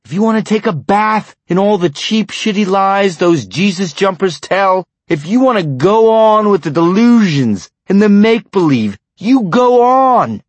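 A man's voice: sits low, fairly even intonation, unhurried, a little mellow, husky, no echo. A man voicing a furious middle-aged husband with a limp, roaring and bellowing.

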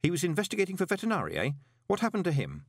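A man voicing a shrewd, wry adult postmaster with a dry, smooth-talking manner. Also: sits high, wildly swooping pitch, racing, no echo, pitched above the performer's own voice, full character voice. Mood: probing.

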